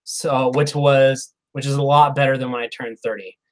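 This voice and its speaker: A man's voice: mid-range voice, fairly even intonation, normal speed. A man in his thirties hosting a casual live Q&A stream, reading viewer comments in a chatty, upbeat way with frequent laughs, recorded on a lapel mic in a small room. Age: 30s. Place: small room, plain wall behind. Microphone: clip-on lapel mic.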